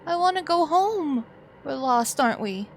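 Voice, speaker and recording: Woman as scared little child, high voice, slow, timid, pleading, game dialogue. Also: animated, a little hiss or hum.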